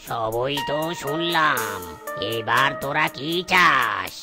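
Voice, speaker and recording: A mysterious cartoon potion-giver. The voice is low and very slow, with a solemn, drawn-out delivery. Music sounds clearly behind it. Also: female voice, expressive, exaggerated voice. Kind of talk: cartoon character dubbing.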